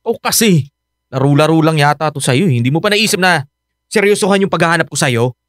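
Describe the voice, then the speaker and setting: An actor playing Felix, a clingy young boyfriend in love, emotional and coaxing in a radio romance drama. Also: male voice, a little high, expressive, brisk, fairly bright tone, no room sound, clean studio sound. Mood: sulky. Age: young adult.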